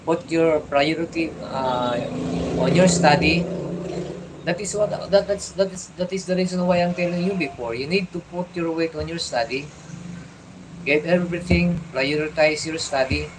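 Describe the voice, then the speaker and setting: A middle-aged Filipino man talks casually on a livestream, his voice sitting a little high for a man. He stumbles, repeats and restarts words as he gives advice like a father would. A faint hum of ambience stays low behind him. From Philippines. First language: Tagalog. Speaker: vlogger.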